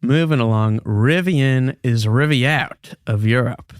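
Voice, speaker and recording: A man's voice: mid-range voice, unhurried, no echo, studio quality. A man hosting a business news podcast in an informal, playful, conversational way. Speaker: podcast host.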